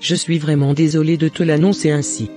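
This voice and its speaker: Cartoon mother, middle-aged, remorseful and apologetic , male actor, mellow timbre, slightly raised pitch. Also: level tone, a little fast, faint music behind, close to an everyday voice. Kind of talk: scripted cartoon dialogue.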